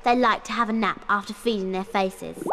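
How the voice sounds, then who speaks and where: A woman voicing a clever, bossy British schoolgirl witch in a video game, brisk and earnest. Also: medium pitch, fairly even intonation, sharp tone, natural voice.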